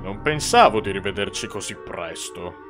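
A man's voice: fairly low pitch, animated, normal speed, natural voice. A man voicing a grandiose, scheming ghostly chef in a cartoon fan dub, with florid, theatrical delivery. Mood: menacing, gloating. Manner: florid, pompous wording. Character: grandiose, scheming ghostly male chef, genial turned menacing. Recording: music under the voice.

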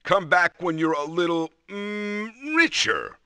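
A burly, greedy merchant in a cartoon game. He sells hammily in a sharp, forceful voice that swings up and down, slow and savoring. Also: high-pitched, huge pitch swings, clear, resonant tone, no echo, full character voice. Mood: hearty, sly. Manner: hammy salesman patter. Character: burly, greedy shopkeeper, hearty and sly. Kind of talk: cartoon character acting for a video game.

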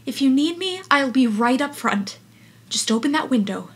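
A woman playing a flustered, protective aunt in an audio drama, speaking in an urgent, halting, reassuring way. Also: high-pitched, lively intonation, slightly rough, full character voice. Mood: hurried, flustered. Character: flustered, protective aunt hurriedly soothing her nephews.

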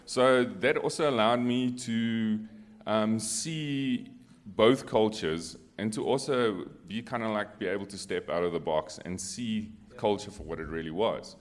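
A low-voiced South African man in middle age, whose first language is Afrikaans, speaks on a panel. He tells his own story in a casual way into a handheld microphone on stage. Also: measured pace. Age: middle-aged. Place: on stage in a church hall.

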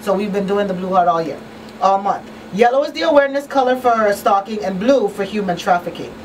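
A woman's voice: mid-range voice, animated, fairly quick. A woman presenting a live radio talk show as host in a small studio. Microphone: boom-arm studio microphone. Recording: slightly distant, faint room noise.

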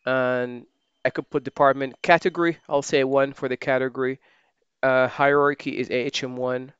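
A man giving a screen-recorded software tutorial, thinking aloud as he types and often correcting himself. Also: mid-range voice, normal speed, smooth, no echo, muffled recording. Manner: relaxed, thinking aloud while typing, many fillers and self-corrections. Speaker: software trainer.

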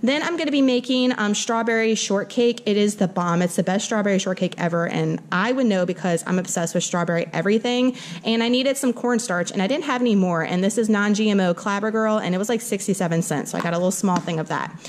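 A woman's voice: medium pitch, fast talker. A young woman from Louisiana with a Southern accent, chatting upbeat in a casual home kitchen vlog. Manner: chatty and upbeat, lots of "like" and "y'all". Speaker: vlogger. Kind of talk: casual grocery haul vlog.

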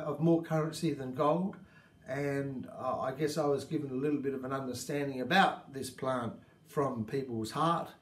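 A man in his fifties with an Australian accent, talking casually to camera about native plants, rambling and gesturing, in a small indoor room. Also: on the high side. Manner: warm, rambling, gestures with hands. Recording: echoey room.

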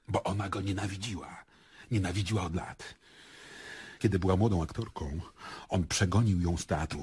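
A man's voice: deep voice, husky, exaggerated voice. An actor playing an indignant, defensive male murder suspect in a radio play, scornful and incredulous.

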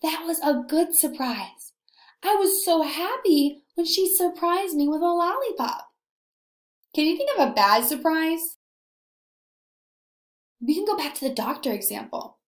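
A young woman teacher leading a read-aloud lesson for young children, animated and encouraging, asking questions and pausing for answers. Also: extremely high pitch. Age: young adult. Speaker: early elementary teacher. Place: indoor room, echoey. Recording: echoey room.

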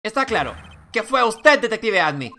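A woman's voice: fairly high pitch, very animated, normal speed, pushed and bright, full character voice. A woman voicing an earnest, confrontational young male defense attorney from a video game, declaiming and accusing.

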